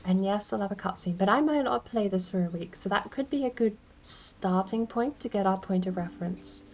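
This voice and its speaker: A woman's voice: mid-range voice, a little fast, a little mellow. A woman streaming a game from home, rambling and thinking aloud as she plays. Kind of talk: casual gameplay commentary.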